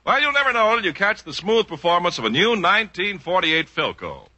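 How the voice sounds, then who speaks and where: A man's voice: sits high, sing-song, brisk, sharp tone. A man announcing on 1940s radio in a punchy, theatrical manner. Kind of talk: 1940s radio narration and ad copy.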